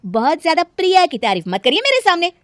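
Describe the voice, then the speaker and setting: A woman voicing a lazy, defiant young daughter-in-law in an animated story, talking back dismissively. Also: very high-pitched, fast talker, a little hiss or hum, exaggerated voice.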